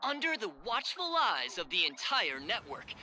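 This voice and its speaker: An actress playing an excitable, dramatic TV news anchor in a video game, announcing crisply in a sensational broadcast style. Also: female voice, mid-range voice, moderate pace, soft background music. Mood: amazed.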